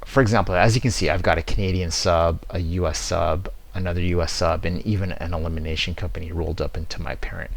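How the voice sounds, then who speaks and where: A man giving an explanatory software demo walkthrough, stressing his key words as he presents. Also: deep voice, steady tone, quick pace, gravelly, hardly any pauses.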